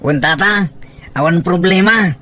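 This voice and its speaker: A man plays a bashful young bachelor in a village comedy drama. His voice is high and bright, naive and lovestruck in its delivery. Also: slight background noise, heavily acted. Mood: naive, eager. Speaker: voice actor in an Ilocano audio drama. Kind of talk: dramatic comic dialogue.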